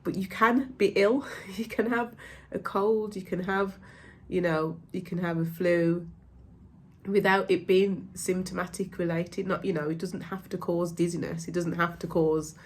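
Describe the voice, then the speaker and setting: A woman's voice: on the low side, fairly even intonation, measured pace, slightly rough. A woman, stuffed up with a cold, talking casually and reassuringly as a mind-body coach, with many ums. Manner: gentle, reassuring, rambling with frequent ums. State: congested from a cold. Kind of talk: casual talk to camera.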